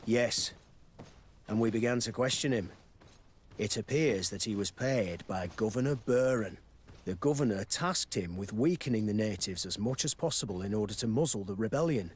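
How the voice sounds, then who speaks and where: An actor playing a calm, matter-of-fact male official in a video game. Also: medium pitch, slightly rough.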